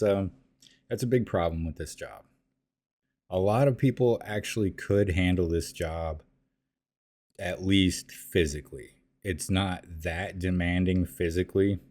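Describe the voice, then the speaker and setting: A man hosting his own show, answering listener emails in a relaxed, conversational way with frequent fillers and restarts. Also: deep voice. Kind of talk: casual spoken monologue.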